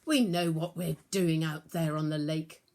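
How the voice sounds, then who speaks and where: Storybook part: earnest, impulsive male fisherman acted by a female reader, plain emphatic speech, measured pace. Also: sits low, steady tone, darkish tone. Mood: decided.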